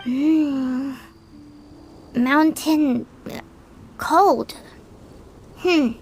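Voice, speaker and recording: A woman voicing a small, excitable, cheeky fairy-like companion with a squeaky childlike voice in an animated game. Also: drawn-out words, soft background music, heavily acted. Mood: puzzled. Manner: speaks of self in third person.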